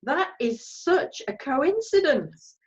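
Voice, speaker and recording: A woman's voice: high-pitched, very animated, takes it slowly, highs cut off, heavily acted. A woman reading the part of a gossipy, chatty mum in an amateur online play reading.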